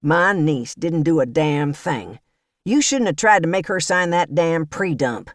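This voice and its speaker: A woman reader voicing a blunt, short-tempered old woman who speaks gruffly and deliberately. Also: low voice, lively intonation, dry recording, studio quality. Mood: gruff indignation. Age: older.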